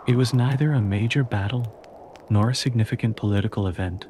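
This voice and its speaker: A man reading a calm, scripted history narration meant for sleep, in a slow and measured way. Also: on the low side, a little hiss or hum.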